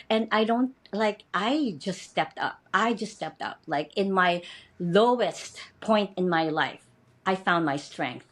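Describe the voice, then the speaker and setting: A Filipino woman in her fifties for whom English is a second language, guesting on an interview podcast. She speaks earnestly at a middle pitch, often repeating and restarting her phrases as she talks. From Philippines. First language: not English. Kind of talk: interview conversation.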